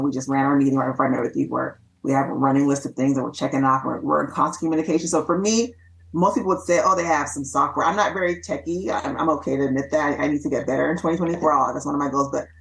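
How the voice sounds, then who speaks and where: Woman doctor guest, chatty and quick, upbeat, from los angeles. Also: low voice, gravelly, poor audio. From Los Angeles, USA. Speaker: physician and author guest. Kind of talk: casual interview chat.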